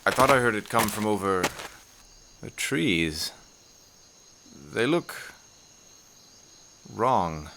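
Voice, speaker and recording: Guy voicing an educated professor in a horror audio drama, really low voice, polite halting formal speech, faint bug noise behind. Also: expressive, bright, forceful tone, heavily acted. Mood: alarmed, baffled. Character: inquisitive, educated male professor investigating strange horrors. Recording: insect-like ambient noise behind dialogue.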